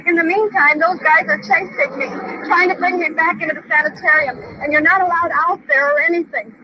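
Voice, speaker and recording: A woman's voice: very high-pitched, fairly even intonation, a little fast. An American woman in her forties, agitated and distressed, giving a rambling, repetitive, pleading account. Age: 40s. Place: gas station, outdoors. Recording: dull, noisy old outdoor field recording.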